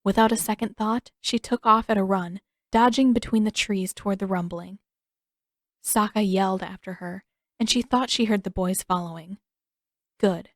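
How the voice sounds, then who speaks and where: A woman reading a scripted story as an audiobook narrator, measured and expressive in her storytelling. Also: mid-range voice, dry recording, studio quality.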